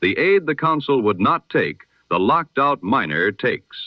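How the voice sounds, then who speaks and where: A man presenting a scripted news bulletin in 1920s radio style, with a formal, measured, solemn delivery. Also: mid-range voice, sing-song, dry recording, lo-fi sound.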